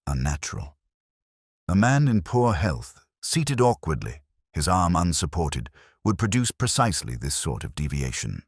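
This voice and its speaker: A man reads as an analytical Victorian detective in a storybook. The voice is extremely deep and husky, moving expressively in pitch. He reasons coolly, with deliberate, precise emphasis. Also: big pitch swings, no room sound, studio quality, exaggerated voice. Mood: certain, grave.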